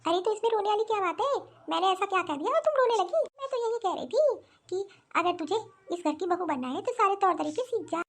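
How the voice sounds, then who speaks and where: Strict, nagging saas for family cartoon female actor; very high pitch, fast insistent scolding. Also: expressive. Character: strict, nagging mother-in-law of a traditional household. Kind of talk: Hindi family-drama cartoon voice acting.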